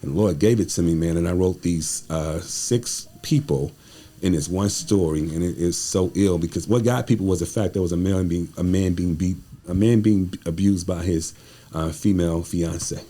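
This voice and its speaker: Very deep, mellow American man. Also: moderate pace. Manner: informal, slangy speech. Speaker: guest playwright. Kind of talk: conversational interview storytelling.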